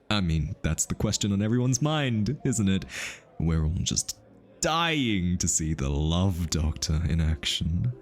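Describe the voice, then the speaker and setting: A man's voice: on the low side, sing-song, takes it slowly. A male voice actor playing an easily flustered, teasing hitman who is smitten, in an ASMR roleplay. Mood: suave, teasing. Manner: teasing, stammers and backtracks when flustered.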